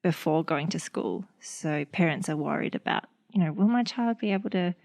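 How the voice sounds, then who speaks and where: Creaky-voiced woman, easygoing podcast chat. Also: lowish voice, moderate pace. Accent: Australian. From Australia. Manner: relaxed, lots of fillers and "like". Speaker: speech pathologist.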